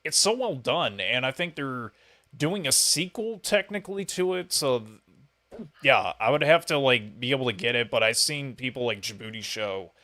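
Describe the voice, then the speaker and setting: A man's voice: medium pitch, lively intonation, normal speed, sharp tone. Casual male podcast interviewer. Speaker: podcast host interviewing a guest.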